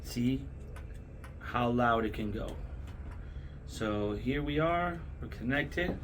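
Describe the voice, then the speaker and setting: A man's voice: medium pitch, animated, slow, slight background noise. A man hosting a casual product unboxing, talking enthusiastically to viewers in short, punchy, repeated phrases. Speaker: host of product unboxing videos. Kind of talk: casual hype product demo to camera.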